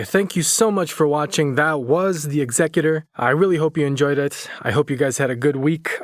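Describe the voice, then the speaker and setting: A man's voice: mid-range voice, no room sound, clean studio sound. A young man from Poland chatting informally and a bit hesitantly to listeners as host of a story channel. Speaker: host and writer of horror audio stories. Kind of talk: casual outro chat to listeners.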